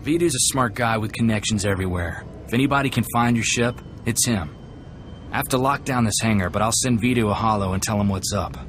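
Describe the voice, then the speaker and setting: A young, earnest male gunslinger played by an actor in a sci-fi game, with a slightly low voice and folksy, hot-headed delivery. Clear background noise surrounds him. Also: brisk, natural voice. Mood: frustrated, earnest.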